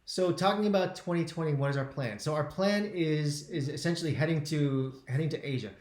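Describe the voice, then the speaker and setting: A man's voice: on the high side, darkish tone, reverberant. A man in his forties co-hosting a casual live stream, often repeating words as he works out what to say. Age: 40s. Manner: halting, repeats words while finding his thought. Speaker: travel channel co-host.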